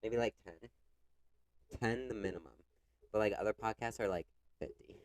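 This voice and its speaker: A man's voice: lowish voice, moderate pace, a little mellow. A young man co-hosting a podcast in casual chat, congested with a cough, talking loosely with lots of filler words. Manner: chatty, many "like" fillers, retells stories with quoted voices. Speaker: podcast co-host.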